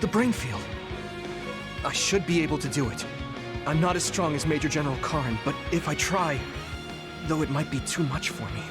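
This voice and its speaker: A man's voice: fairly high pitch, normal speed, long pauses, over loud music, natural voice. An actor playing an earnest, desperate young male soldier in a video game, speaking in an emotional, exclamatory way. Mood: anxious, determined.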